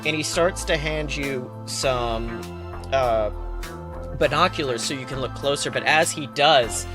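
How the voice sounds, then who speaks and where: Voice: medium pitch, unhurried, music in the background. A game master narrating a tabletop adventure in an informal, improvised way, swearing casually. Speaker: game master and podcast host.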